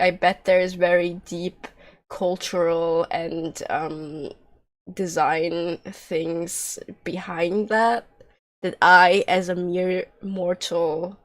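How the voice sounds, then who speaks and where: Slow-paced woman streaming a game. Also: fairly low pitch. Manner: joking, sarcastic, swearing. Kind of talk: casual livestream commentary on a game.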